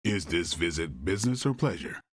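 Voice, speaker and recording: Curt, deep, lively-pitched monster hunter. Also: male voice, normal speed, slightly rough, no room sound, studio quality. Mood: brusque, wry. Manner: curt, sardonic. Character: brusque, dogged male monster hunter, tough. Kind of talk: video game cutscene acting.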